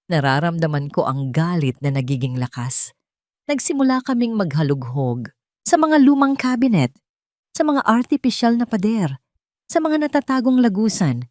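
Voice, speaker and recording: A woman's voice: fairly low pitch, a little mellow, no room sound, clean studio sound. A woman with a Filipino accent reading a scripted first-person dramatic story as its narrator.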